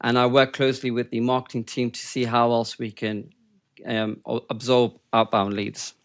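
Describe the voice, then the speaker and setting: Male guest, 30s, Kenyan-born Londoner, medium pitch, conversational interview delivery. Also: level tone, moderate pace. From born and raised in Kenya, later moved to London. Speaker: podcast guest, sales development rep. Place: home office.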